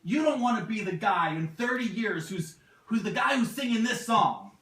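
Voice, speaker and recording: Man puppeteering a high-pitched, excitable young time traveler. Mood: pleading, urgent. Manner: flustered, halting, repeats himself. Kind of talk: amateur comic puppet show. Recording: echoey distant live recording, very noisy.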